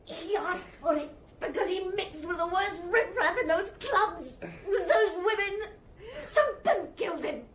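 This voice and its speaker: An actress playing a bitter, defiant, hard-drinking wife in a detective audio drama, scornful and snappish. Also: female voice, very high voice, lively intonation, measured pace, a bit bright, exaggerated voice. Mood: bitter, distraught.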